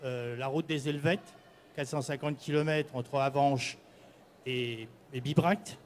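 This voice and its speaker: An older man from the Paris region giving a semi-prepared presentation to an audience, halting at times and correcting himself. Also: mid-range voice, steady tone, a little hiss or hum. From Paris region, France. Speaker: candidate presenting at a prize event.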